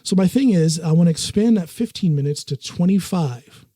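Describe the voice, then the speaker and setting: A man hosting a casual podcast, easygoing and quick to laugh, often restarting his sentences. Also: high-pitched, expressive, mellow, no echo, clean studio sound. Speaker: podcast host.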